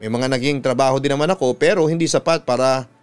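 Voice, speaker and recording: A Filipino man narrates a scripted story in the style of a radio announcer. His voice is smooth and clean, at a middling pitch, with a measured, dramatic delivery. Instrumental music plays softly behind him. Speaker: radio announcer and host reading listener stories.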